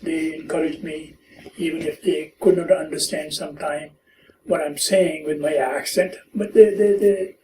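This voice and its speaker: A man in his seventies with a Pakistani accent, telling stories from his life in an interview with gentle humor. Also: a little high, steady tone. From born in India, raised in Pakistan. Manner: unhurried, gently humorous storyteller who acts out quoted lines. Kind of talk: oral history storytelling. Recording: echoey room, far from mic, faint hiss.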